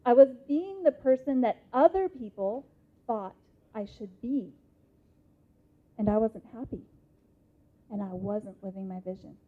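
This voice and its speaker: A woman in her thirties with an American accent gives an interactive motivational talk in a conference hall, speaking emphatically with deliberate pauses. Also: fairly high pitch. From Idaho, United States. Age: 30s. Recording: muffled lo-fi live hall recording.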